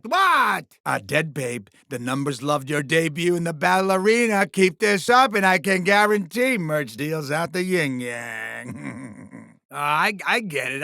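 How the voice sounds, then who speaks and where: Casting: greedy, smarmy alien studio boss, game villain. High, piercing voice, bombastic showbiz delivery, condescending. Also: male voice, gravelly, bright, forceful tone, no echo, exaggerated voice. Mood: irritated, impatient. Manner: fast-talking showbiz patter, theatrical, condescending. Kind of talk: exaggerated video game villain acting.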